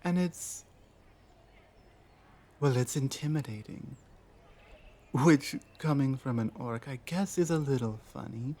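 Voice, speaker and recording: Guy doing a lost orc for an asmr roleplay, talks slow n halting, humble and earnest, mid pitched voice. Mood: uneasy, confiding. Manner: hesitant, halting, earnest, softly pleading. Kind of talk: ASMR roleplay audio drama.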